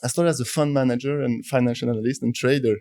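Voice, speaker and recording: A man in his forties with a French accent speaks in a relaxed, mellow voice. He tells his story thoughtfully, pausing and restarting his sentences. The sound is dry and close, with no room echo. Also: mid-range voice. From France. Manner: thoughtful, halting, many restarts. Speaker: guest, telecom executive. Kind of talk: conversational interview storytelling.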